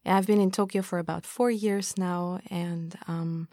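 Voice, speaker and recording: A woman from Austria with a lowish voice speaks as a podcast guest. She talks thoughtfully, with frequent ums and restarts. The sound is close and dry. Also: fairly even intonation, measured pace, dark tone, no echo. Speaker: founder of a tech community, guest. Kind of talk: podcast interview.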